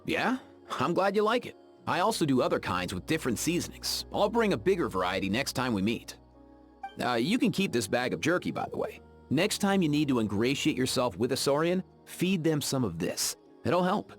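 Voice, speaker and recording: An actor voicing an easygoing, friendly young veterinarian in a video game, with faint music behind. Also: male voice, medium pitch, sing-song, moderate pace, natural voice. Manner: easygoing and friendly, with frequent laughs. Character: laid-back young man, a veterinarian who keeps saurians. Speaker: game character. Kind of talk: game voice acting.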